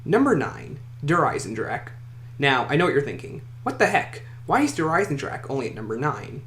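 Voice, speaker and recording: Echoey man's voice with lively, swinging pitch. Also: moderate pace. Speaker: list video host. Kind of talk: scripted countdown commentary. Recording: echoey room, faint noise.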